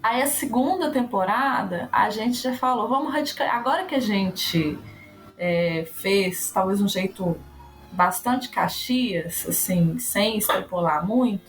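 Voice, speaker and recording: An actress and educator talking casually in an interview. Her voice moves freely up and down as she thinks aloud. Soft music plays under her. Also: mid-range voice, measured pace, rough recording. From Brazil. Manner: animated, musing, fond of rhetorical questions.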